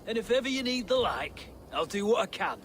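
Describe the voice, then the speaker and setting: A man's voice: high-pitched, very animated, fairly quick, a bit bright, a little hiss or hum. A man playing a blunt, plain-spoken Saxon ally of a Viking warrior in a video game. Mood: grateful, warning. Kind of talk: dramatic video game acting.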